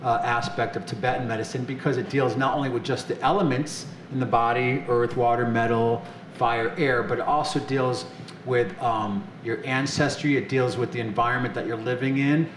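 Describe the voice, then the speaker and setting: A male host chatting in a relaxed, rambling way about holistic topics, easily getting excited. His voice sits at an ordinary pitch and carries a roomy echo with a faint hiss of ambience behind it. The recording has the feel of a small studio. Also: moderate pace. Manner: enthusiastic, upbeat, rambling with fillers. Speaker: show host. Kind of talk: casual interview chat.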